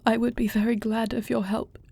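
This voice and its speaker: A woman's voice: a little high, little pitch movement, fairly quick, gravelly, mellow, slightly rough, no room sound. A woman playing a grieving young warrior princess about to become queen, speaking formally and earnestly in an intimate fantasy audio roleplay. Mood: composed, sorrowful. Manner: formal, earnest archaic phrasing.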